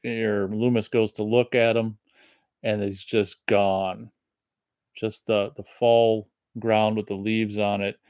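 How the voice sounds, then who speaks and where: A man in his thirties giving a rambling, reflective solo movie commentary, his voice a little raspy from long talking. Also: on the low side, darkish tone, dry recording, clean studio sound. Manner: rambling, reflective, many restarts.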